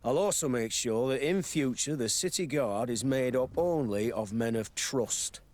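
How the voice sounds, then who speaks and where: A man's voice: mid-range voice, a little slow, a little mellow, a little hiss or hum, lightly acted. A man acting as a courteous, dutiful city guard commander in a video game, with a formal, official manner. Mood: formal, concerned.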